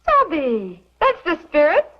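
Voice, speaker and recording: A kind young American woman of the mining camp speaks in a high, lilting, animated voice with a friendly gentleness. The dated western soundtrack is slightly muffled. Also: slow, full character voice. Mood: friendly, apologetic. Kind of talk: 1950s-60s western TV acting. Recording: dull vintage soundtrack, faint music behind.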